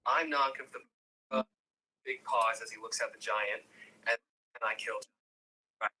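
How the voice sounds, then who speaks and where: A man's voice: medium pitch, fairly even intonation, a little slow, sharp tone. A man chatting casually as an actor at a table read, asking questions in a polite, agreeable way. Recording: very noisy home recording with clicks.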